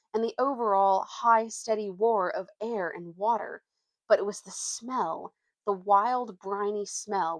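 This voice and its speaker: A woman reading a children's adventure book aloud as an expressive storyteller. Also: mid-range voice, no room sound.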